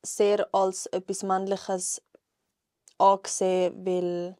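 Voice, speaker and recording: A woman's voice: medium pitch, flat delivery, takes it slowly, warm tone, clear, resonant tone, dry recording, clean studio sound. Earnest Swiss German young woman. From Switzerland. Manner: earnest, chatty, many fillers like voll and so. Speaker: guest rapper. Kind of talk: casual podcast conversation.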